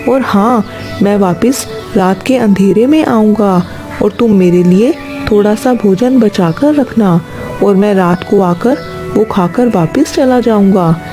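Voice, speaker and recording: A woman's voice: mid-range voice, slow, over music, close to an everyday voice. A woman voicing a sly, scheming male crow in a children's cartoon, with a persuasive, explaining manner.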